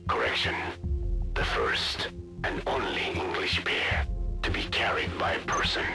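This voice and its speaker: A grave older man of science speaks in a low, whispery rasp, as in a game cutscene. His delivery is clinical and measured, with clear music underneath. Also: sing-song, heavily acted. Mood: clinical, somber. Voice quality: hushed, breathy whispery rasp. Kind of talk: video game cutscene acting. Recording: music behind the voice.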